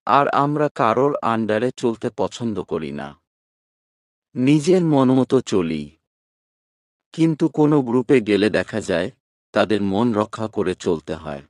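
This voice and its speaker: Storybook role: frank, bickering college girl, read by a man, slow pace, lively swings. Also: on the low side, dry recording, studio quality. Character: chatty, frank, bickering young female student. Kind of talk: storybook dialogue reading.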